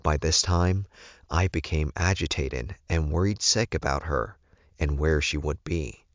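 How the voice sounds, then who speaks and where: Really deep slow guy reading a novel, kinda muffled lo-fi, no room sound. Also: no room sound. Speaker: audiobook narrator. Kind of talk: scripted first-person fiction narration.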